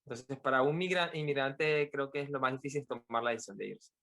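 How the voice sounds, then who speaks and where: A man's voice: mid-range voice, fast talker, fairly bright tone. A man from Venezuela with a Venezuelan accent, speaking reflectively as an interview guest and often repeating words as he gathers his thoughts. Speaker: podcast guest, author. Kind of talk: personal interview account.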